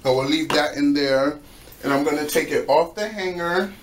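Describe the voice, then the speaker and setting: A man's voice: fairly high pitch, normal speed. A young man casually talking through a DIY project in his home bathroom, chatty with playful asides. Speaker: DIY vlogger. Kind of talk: casual how-to vlog. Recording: echoey small room, faint background noise.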